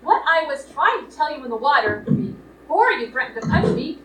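A woman playing an indignant, excitable young wife in a live radio play, melodramatic and shrill. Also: moderate pace. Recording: roomy, distant, faintly noisy stage recording.

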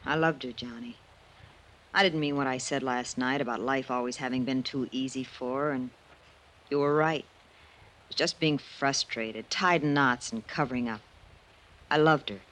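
An actress playing a sincere, emotional young woman in an old-time radio mystery, speaking in a halting, earnest way. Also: rumbling low, fairly bright tone. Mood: sorrowful. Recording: old radio drama recording.

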